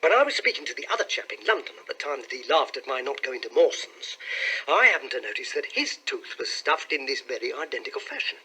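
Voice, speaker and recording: A man voicing an excitable, cheery young male clerk from London, eagerly telling his story in an audiobook. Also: mid-range voice, fast talker, slightly rough, exaggerated voice, low-quality recording.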